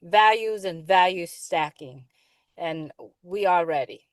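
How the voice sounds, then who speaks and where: A woman's voice: on the low side, slow, no echo. A woman panelist making a supportive comment, repeating phrases for emphasis.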